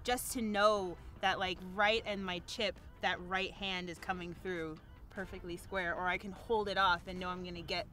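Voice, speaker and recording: A young woman golfer answers questions outdoors on a course with a bright, crisp voice. She is bubbly, laughs easily and fills her talk with "like". An overhead boom mic picks her up in the open air. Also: flat delivery, moderate pace. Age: young adult. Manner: bubbly, laughs often, says "like" a lot. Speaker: long drive champion golfer. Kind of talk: casual promotional interview.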